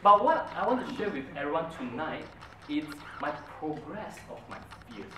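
A young man whose first language is Mandarin tells his story with a lively, rising-and-falling voice. He is heard from a distance in a roomy meeting hall, with a steady hiss of room noise behind him. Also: on the high side, normal speed. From Brunei. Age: young adult. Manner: earnest storytelling, with an animated, emphatic delivery. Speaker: club speaker. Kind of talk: prepared speech. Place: meeting room, medium size, indoors. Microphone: far camera.

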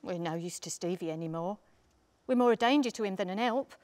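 An actress reading the part of a weary, loyal pub landlord's wife, anxious and pleading, in a stage drama. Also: female voice, mid-range voice, brisk, darkish tone, natural voice. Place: theatre stage, seated reading.

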